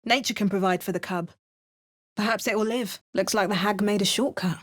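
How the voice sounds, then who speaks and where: A woman's voice: mid-range voice, brisk, fairly bright tone, lightly acted. A woman voicing a cautious, sardonic adventurer in a fantasy game, delivering short in-game remarks. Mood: wry. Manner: dry, sardonic asides. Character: wry adventuring heroine, dry and cautious. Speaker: player character. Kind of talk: game voice lines.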